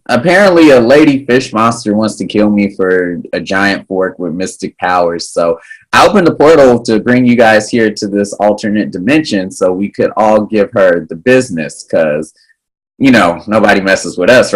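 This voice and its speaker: A man's voice: lowish voice, moderate pace, low-quality recording. An actor at a table read playing a cocky, playful teenage boy who thinks he is a hero. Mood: excited. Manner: goofy, animated, cocky.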